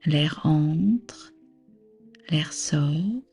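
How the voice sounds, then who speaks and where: Very slow, soft breathy woman guiding relaxation. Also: low-pitched. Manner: soothing, unhurried, gently instructing. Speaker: yoga nidra instructor. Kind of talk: guided relaxation meditation. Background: background music.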